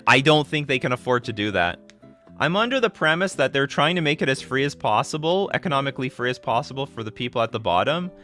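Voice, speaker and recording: A man in his thirties hosting a casual livestream, answering viewer questions in a rambling, excitable way, often restarting his sentences. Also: mid-range voice. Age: 30s. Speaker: livestream host.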